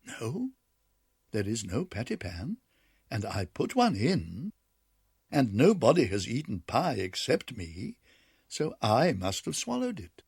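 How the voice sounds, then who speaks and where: A man's voice: mid-range voice, huge pitch swings, takes it slowly, gravelly, a little mellow, breathy edge, no room sound, clean studio sound, exaggerated voice. A man doing a whining, panicky lady dog. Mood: fretful. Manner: whining, melodramatic. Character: anxious, greedy female dog guest.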